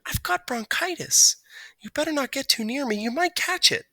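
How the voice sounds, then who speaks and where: A woman's voice: high-pitched, animated, normal speed, bright, forceful tone, dry recording, pitched above the performer's own voice, full character voice. A woman voicing a tiny, polite male mouse boy who talks in an earnest, eager way for a storybook reading. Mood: concerned.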